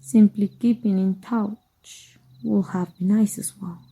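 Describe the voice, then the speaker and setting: A sly former magic teacher with dark powers, played by a woman from Mexico. Her voice is very dark and mellow, breathy at the edges, and she drifts along at an unhurried crawl. She half-whispers, low and teasing, close and intimate in the ASMR manner. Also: steady tone, exaggerated voice, rough recording. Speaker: voice actor. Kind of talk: ASMR roleplay.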